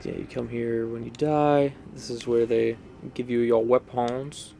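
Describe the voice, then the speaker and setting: A man's voice: medium pitch, fairly even intonation, a little slow, gravelly, dark tone, slight background noise. A man giving casual, rambling, unscripted commentary while playing a video game. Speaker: gaming video host.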